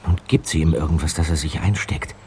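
An actor playing a calm, observant teenage detective in a radio play. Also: male voice, extremely low pitch, brisk, a little hiss or hum. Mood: watchful.

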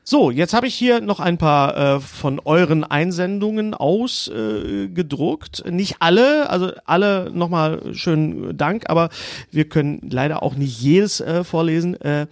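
A man's voice: fairly high pitch, expressive, measured pace, gravelly, no room sound, clean studio sound. A man hosting a podcast, discussing films casually in colloquial language. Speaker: film podcast host. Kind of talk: casual film discussion, reads out listener submissions.

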